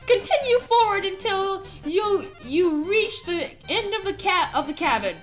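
A woman's voice: very high-pitched, lively intonation, a little slow, faint music behind. A woman voicing a boastful, friendly and naive male skeleton from a game, announcing things theatrically. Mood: earnest announcing.

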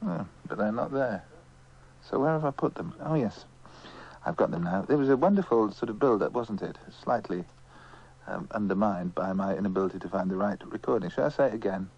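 A man's voice: on the low side, lively intonation, a little fast, darkish tone. A man ad-libbing casually as a radio disc jockey, wry and self-deprecating. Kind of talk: casual ad-libbed music presenting. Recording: dull radio recording, faint music.

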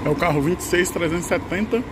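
A Brazilian man giving casual travel vlog commentary, pointing out buses as they come and go, recorded on the spot. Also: fast talker. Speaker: bus travel vlogger. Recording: noisy outdoor handheld recording with vehicle rumble.

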